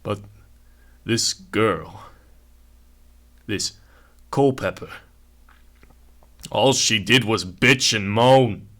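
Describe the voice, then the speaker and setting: Radio drama role: troubled lawman chief, low, slow and tense, brooding and emphatic. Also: a bit bright. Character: disillusioned, troubled male security chief.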